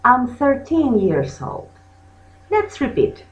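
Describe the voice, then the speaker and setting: A woman's voice: high-pitched, huge pitch swings, slow. A native Spanish-speaking woman giving a bilingual language lesson as teacher and host, carefully drilling phrases by repetition. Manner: bilingual, switches between English and Spanish, has listeners repeat. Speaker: English teacher, channel host. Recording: muffled lo-fi sound in echoey room.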